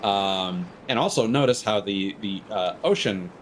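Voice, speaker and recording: A man's voice: on the low side, unhurried, a little hiss or hum. A man hosting a game livestream, giving rambling, opinionated explanations in a casual, chatty way.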